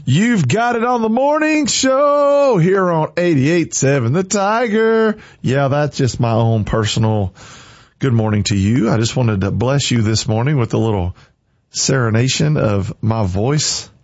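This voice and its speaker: A man's voice: mid-range voice, animated, unhurried, no echo. Fervent radio preacher man. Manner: fervent, preachy, repeats short commands. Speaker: morning show radio host. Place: radio studio. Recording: dry, slightly muffled studio.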